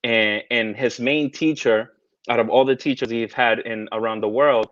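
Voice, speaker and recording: A man's voice: medium pitch, steady tone, moderate pace, fairly bright tone, dry recording. Hesitant man, dry dull recording. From United States, raised partly in the Caribbean. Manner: hesitant, many restarts and fillers. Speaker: guest, tarot artist. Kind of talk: casual interview conversation.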